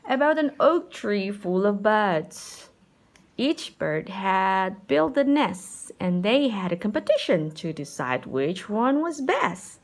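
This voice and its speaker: A woman reading a children's picture book aloud in an expressive, animated and unhurried storytelling manner. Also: medium pitch. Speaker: storybook reader.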